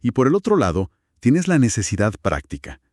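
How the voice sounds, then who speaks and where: A man's voice: medium pitch, wildly swooping pitch, a little fast, no room sound, clean studio sound. A man co-hosting a conversational explainer, speaking in an emphatic, explanatory way. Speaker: co-host of an audio gear review discussion. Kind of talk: conversational podcast-style explainer.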